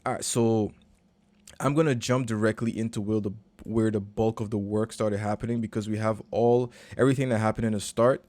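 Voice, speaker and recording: A man, a recording and mixing engineer, casually walking through a session in a relaxed, slangy, conversational way. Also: on the low side, brisk, dry recording. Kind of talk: casual screen-share walkthrough.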